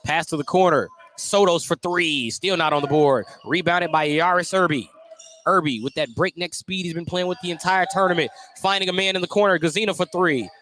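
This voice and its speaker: A male announcer calls a basketball game live for radio in a slightly high voice. He tracks the ball and the players as play moves. Faint noise of the gymnasium sits behind him. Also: fairly quick, a bit bright. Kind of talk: live radio sports commentary. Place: indoor gymnasium. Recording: noisy live gym broadcast. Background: gym noise, bouncing basketballs.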